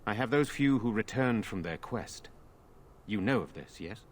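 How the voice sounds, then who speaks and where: A man plays a middle-aged lord in a fantasy game, earnest and formal. His voice is on the low side, courteous and measured. There is faint noise behind him. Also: expressive, close to an everyday voice. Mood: earnest, grave. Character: earnest middle-aged nobleman leading a village defense. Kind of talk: fantasy video game dialogue.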